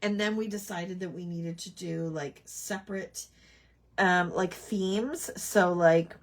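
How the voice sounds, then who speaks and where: Crafting host: woman, low gravelly husky voice, slow rambling chat to viewers. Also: steady tone. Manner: rambling, chatty, talks to viewers while working. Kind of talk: casual crafting livestream chat.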